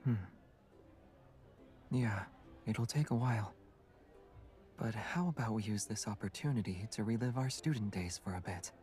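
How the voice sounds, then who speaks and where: A man playing a gentle, teasing young hunter in a video game, speaking softly and with understatement. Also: fairly low pitch, normal speed, pauses often, lightly acted. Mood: calm. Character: Xavier, soft-spoken young male hunter, gentle and teasing. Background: background music.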